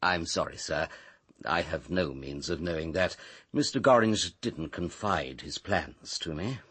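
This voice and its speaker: A man acting a stiffly correct British butler in a radio drama, speaking in a formal, measured, deferential way. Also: sits low, animated, fairly bright tone, slightly rough, heavily acted.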